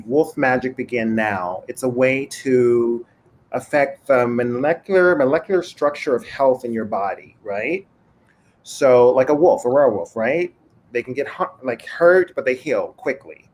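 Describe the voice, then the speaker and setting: Host: man, mid pitch, animated and affirming, video-call audio with faint background noise. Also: measured pace. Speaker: moderator and show host. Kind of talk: hosting a panel conversation. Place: home room.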